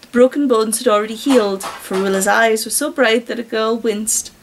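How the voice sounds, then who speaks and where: A woman with a high-pitched British voice reads a story aloud in an amateur style. She is giggly and openly incredulous, breaking into sarcastic commentary on what she reads. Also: fairly even intonation, normal speed, slight background noise, poor audio. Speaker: reader and commentator. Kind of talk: amateur story reading with mocking asides.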